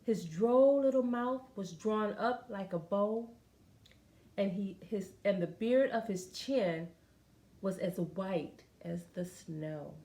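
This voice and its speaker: A woman reading a children's story aloud in an expressive, deliberate way, with dramatic phrasing. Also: medium pitch. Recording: roomy, echoing room sound.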